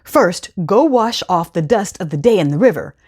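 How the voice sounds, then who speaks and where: A woman's voice: mid-range voice, animated, moderate pace, a little mellow, natural voice. A woman voicing a clever, confident male storybook cat with a courtly, persuasive manner.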